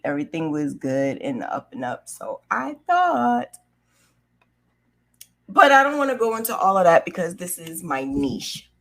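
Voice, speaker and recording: Low-voiced New woman telling her story. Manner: candid, conversational, acts out remembered dialogue. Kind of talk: casual personal storytelling.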